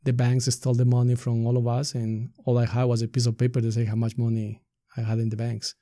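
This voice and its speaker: A middle-aged man with an Ecuadorian Spanish accent speaks in an interview. His delivery is level and unanimated, with a soft, dark tone and a very dry, close sound. He explains his points conversationally. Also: medium pitch, completely flat delivery, fast talker, dry recording. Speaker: podcast guest, community activist and soccer coach.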